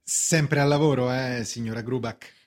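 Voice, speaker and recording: A man's voice: mid-range voice, fairly even intonation, normal speed, lightly acted. Indignant, anxious man acting a bank official over music. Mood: apologetic, polite. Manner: theatrical, swinging from polite restraint to protest. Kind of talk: radio drama stage acting.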